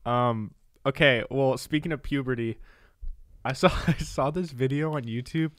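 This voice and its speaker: A man's voice: medium pitch, normal speed, fairly bright tone, no echo. A young man co-hosting a podcast in casual chat, rambling and laughing often, close to a studio mic in a small room. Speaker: podcast co-host. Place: small room with posters, indoors. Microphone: studio mic close up, headphones.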